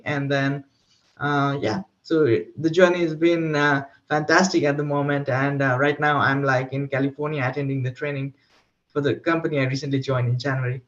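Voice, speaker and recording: A man's voice: a little high, fairly bright tone, highs cut off. A young man from Nepal introducing himself on an online panel, hesitant, with many fillers. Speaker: alumnus panelist.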